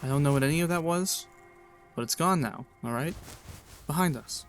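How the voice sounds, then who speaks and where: An actor playing a calm man who reassures a frightened partner in a radio play. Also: a little high, moderate pace, soft background music, close to an everyday voice. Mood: soothing. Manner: soothing, reassuring.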